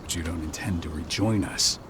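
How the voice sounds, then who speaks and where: A man playing a stern, skeptical, proud pack leader in a video game, speaking in a measured, formal, challenging way. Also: deep voice, background noise, lightly acted. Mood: reproachful.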